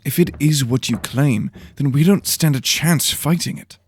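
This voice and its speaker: A man's voice: fairly high pitch, moderate pace, a little hiss or hum, voice pushed up, lightly acted. A man voicing a cautious, polite pupil with a hesitant, sheepish manner in a fantasy audio drama. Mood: nervous. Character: nervous, cautious, polite young male pupil.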